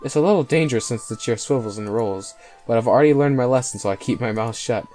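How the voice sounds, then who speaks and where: Male gameplay host, mid-pitched, reading story text aloud with faint music underneath. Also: quick pace, dark tone. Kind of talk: reading visual novel narration aloud. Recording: voice over faint game music.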